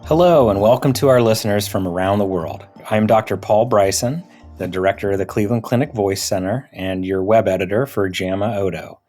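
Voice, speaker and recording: A man's voice: fairly low pitch, fairly quick, darkish tone, faint music behind. A man speaking as a podcast host, conducting an interview with medical guests. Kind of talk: interview hosting.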